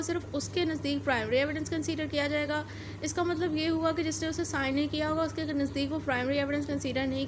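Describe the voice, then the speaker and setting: Pakistani lady teaching law, pretty high voice, explains stuff step by step, noisy recording with traffic hum behind. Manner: didactic, step-by-step, repeats and rephrases points. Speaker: law lecturer. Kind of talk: explanatory lecture, mixing English legal terms.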